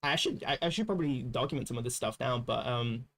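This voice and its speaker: A man's voice: medium pitch, lively intonation, fast, a bit bright. A young man who grew up speaking Slovak, chatting casually on a livestream, rambling with restarts and laughs. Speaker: livestream co-host. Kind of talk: casual chat.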